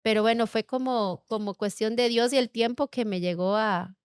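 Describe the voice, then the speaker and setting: Middle-aged woman, interview guest; clear resonant voice, dry studio sound, unhurried hesitant storytelling. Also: a little high, fairly even intonation, no room sound. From Bolivia. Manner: warm storytelling with frequent "eh" hesitations. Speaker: guest, business co-founder. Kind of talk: casual interview chat.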